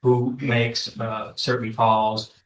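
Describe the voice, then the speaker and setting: Even-toned male prosecutor, halting delivery. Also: medium pitch, slight background noise. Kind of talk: courtroom questioning and argument. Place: courtroom.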